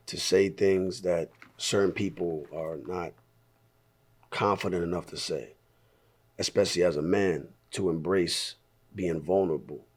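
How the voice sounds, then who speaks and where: Hoarse man, 30s, from Staten Island, very low raspy voice, loose rambling interview talk. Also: a little mellow. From Staten Island, New York. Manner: laid-back, rambling, frequent throat clearing. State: hoarse, voice partly lost. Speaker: musician being interviewed. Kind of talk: casual interview chat.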